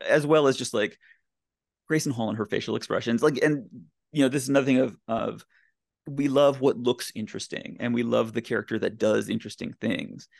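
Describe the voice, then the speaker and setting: A man speaking as a podcast guest in casual, enthusiastic discussion, with emphatic and halting phrasing. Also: mid-range voice, vocal fry, no echo, studio quality. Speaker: podcast guest, blogger and writer.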